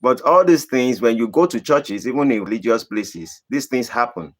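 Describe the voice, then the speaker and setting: A man from Nigeria with a Nigerian accent, hosting a live stream and answering viewer questions in a deliberate, emphatic way. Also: expressive. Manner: deliberate, emphatic, rising to high emphatic bursts. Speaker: channel host.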